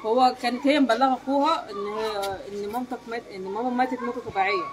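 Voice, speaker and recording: A woman's voice: a little high, racing, noisy background. A woman in her thirties with an Egyptian accent answers as an eyewitness in a street interview, in a matter-of-fact way. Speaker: neighbour giving eyewitness account. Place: outdoors against a brick wall in a street. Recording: voices around, outdoor street recording.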